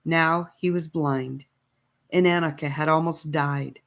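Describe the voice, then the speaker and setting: A woman narrating a scripted story in a measured, expressive storytelling manner. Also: low voice. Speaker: audiobook reader.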